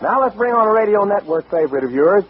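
A man's voice: sits high, expressive, fast talker. A man with an American accent playing a folksy singing-cowboy bandleader who emcees a stage show, in old-time radio style. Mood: folksy, showy. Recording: muffled, noisy vintage radio recording.

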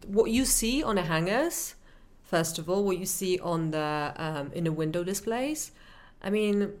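Low-voiced podcast host. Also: female voice, animated, a little slow. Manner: warm, supportive interjections, guiding questions. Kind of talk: casual podcast interview.

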